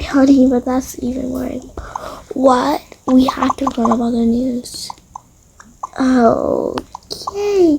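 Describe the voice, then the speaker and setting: A young-sounding storyteller improvising a pretend-play roleplay with several characters, in halting phrases. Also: high voice, noisy background. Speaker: storyteller acting out toy roleplay.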